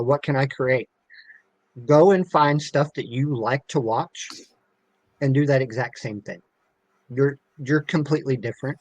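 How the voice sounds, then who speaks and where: A man's voice: medium pitch, no echo, rough recording. A middle-aged man, a podcast guest, giving casual advice in an encouraging, emphatic way.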